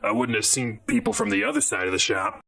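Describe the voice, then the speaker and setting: A man playing a blunt, bulky robot coffee maker in an audio drama, grumbling with deadpan sarcasm. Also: medium pitch, fast talker, creaky, exaggerated voice. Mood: dry, evasive.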